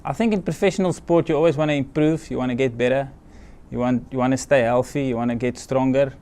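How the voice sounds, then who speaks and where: A middle-aged South African man for whom English is a second language, answering interview questions in a modest, hesitant way with many pauses. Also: mid-range voice, steady tone, a little mellow, clear, resonant tone. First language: Afrikaans or other non-English language. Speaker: team doctor, guest.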